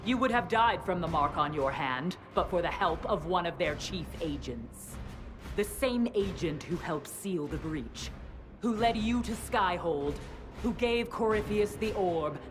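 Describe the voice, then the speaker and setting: A stern female zealot in a fantasy game. Her voice is on the low side, measured and solemn, with an ominous, self-righteous authority. Music plays clearly behind her. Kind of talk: dramatic video game acting.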